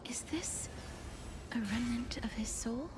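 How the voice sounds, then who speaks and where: A woman playing a gentle, solemn young holy maiden in a video game, speaking softly and breathily in a formal, hesitant way. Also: on the high side, slow, noisy background. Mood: quiet, melancholy. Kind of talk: scripted English video game voice acting. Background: game music.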